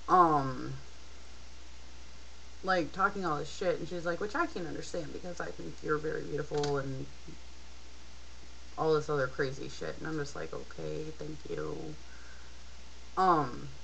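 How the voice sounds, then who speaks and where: A woman's voice: fairly low pitch, a bit bright, a little hiss or hum, rough recording. A tired young woman telling a personal story casually, in a candid, rambling and hesitant way, as a video blogger. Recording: rock song playing in the background. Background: rock music playing behind the voice.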